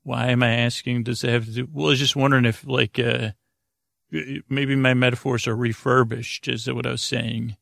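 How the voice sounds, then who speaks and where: Creaky, meandering male podcast host. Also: mid-range voice, level tone, a bit bright, no room sound, clean studio sound. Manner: meandering, halting, trails off and circles back. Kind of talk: rambling improvised comic monologue, bedtime podcast.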